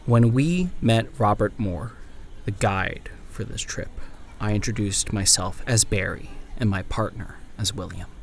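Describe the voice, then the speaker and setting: Low-voiced, solemn haunted detective narrator. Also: male voice, a little hiss or hum. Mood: guarded. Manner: measured, solemn, literary delivery. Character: amnesiac former Pinkerton detective writing a grim final account. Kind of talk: scripted first-person horror audio drama narration.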